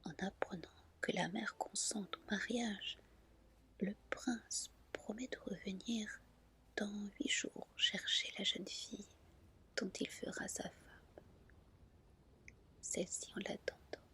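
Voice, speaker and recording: A woman reading a fairy tale as an ASMR storyteller, in a gentle, soft, deliberate whisper. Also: mid-range voice, fairly even intonation, slightly rough.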